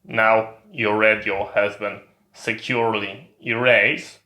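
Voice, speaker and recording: Flat-toned man presenting, bright and measured. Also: on the low side. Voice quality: non-native English phrasing. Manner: methodical, instructional. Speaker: tutorial presenter. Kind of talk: step-by-step hands-on equipment demonstration. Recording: roomy, echoing sound.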